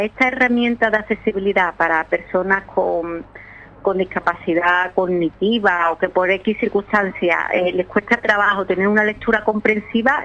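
A woman author from Seville with an Andalusian accent, chatting lively in a radio interview and often laughing. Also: mid-range voice, dull audio, a little hiss or hum. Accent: Andalusian Spanish from Seville. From Spain. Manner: lively, laughs often, talks in quick bursts. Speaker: children's author, guest.

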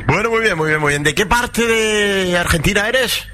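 A man's voice: high-pitched, expressive, moderate pace, sharp tone, soft background music. A man hosting a radio interview, chatty and joking as he asks questions. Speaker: radio host.

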